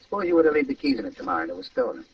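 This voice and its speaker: An actor playing an oily, smoothly menacing nightclub boss and blackmailer in an old-time radio crime drama, with a gravelly, husky voice. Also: male voice, a little high, big pitch swings, racing, slight background noise. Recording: muffled distant old radio recording.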